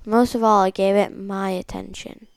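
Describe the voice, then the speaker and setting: A woman's voice: mid-range voice, level tone, moderate pace, vocal fry, dry recording. A woman reading a picture book aloud as the host of a read-along.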